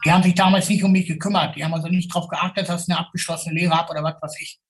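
A man's voice: a little high, flat delivery, rapid-fire. A middle-aged man from the Ruhr area, a city guide, talking candidly in blunt, colloquial language. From Ruhr area, Germany. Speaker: city tour guide, interviewee. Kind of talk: casual, candid spoken account.

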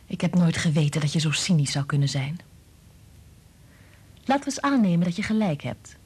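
A woman's voice: deep voice, big pitch swings, fairly quick, a little mellow, husky, heavily acted. An actress playing a serious, protective wife and mother in a radio play, reproachful and resolute. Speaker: radio drama actor. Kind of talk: Dutch radio play acting.

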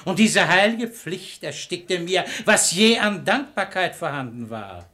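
An actor playing a smug, pious hypocrite and swindler in a radio play, speaking in verse with an unctuous manner. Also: male voice, high voice, animated, unhurried, bright, forceful tone, exaggerated voice. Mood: pious, unruffled. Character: sanctimonious male hypocrite and swindler, smugly self-righteous.

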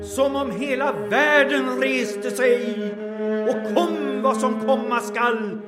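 A man's voice: very high voice, slow pace. A man acting in a radio drama as a Swede seized by visionary fervour, declaiming in an exalted way. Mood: rapt, exalted. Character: rapt Swedish man in sudden visionary fervour. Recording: long echoing hall, music behind.

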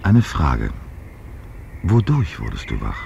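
An actor playing an assured investigator in a radio play, explaining and questioning with patient insistence. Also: male voice, rumbling low, huge pitch swings, slow, gravelly, darkish tone, exaggerated voice. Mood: probing. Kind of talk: German radio play acting. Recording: dull vintage radio sound, faint noise.

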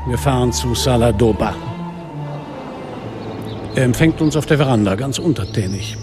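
A man's voice: medium pitch, expressive, normal speed, music in the background, natural voice. A man acting a weary, hard-boiled police inspector in a radio crime drama, narrating his case tersely and dryly. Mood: grim, matter-of-fact. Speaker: lead actor and narrator.

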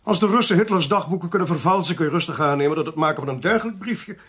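An actor playing a smug, sly, domineering government investigator in a Dutch radio play, pompous and precise. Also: male voice, a little high, expressive, racing, a bit bright, husky. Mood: smug, insistent.

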